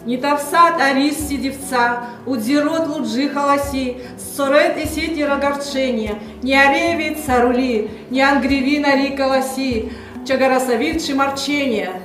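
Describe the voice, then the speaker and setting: A woman's voice: sits high, measured pace. A woman declaiming a poem earnestly over music. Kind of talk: poetry recitation over music. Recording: echoey room, far from mic, music behind.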